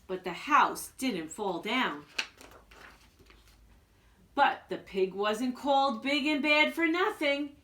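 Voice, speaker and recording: A woman's voice: a little high, bright, forceful tone. A woman reading a children's storybook aloud with dramatic emphasis, like a school principal addressing young listeners.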